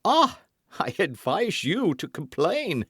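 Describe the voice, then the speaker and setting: A man's voice: sits high, big pitch swings, slow, pushed and bright, husky, dry recording, clean studio sound, pitched above the performer's own voice, exaggerated voice. A man reading an audiobook, voicing a boastful, scheming musketeer in a raised, grand, wheedling voice. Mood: lofty. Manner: grand, wheedling, mock-melancholy.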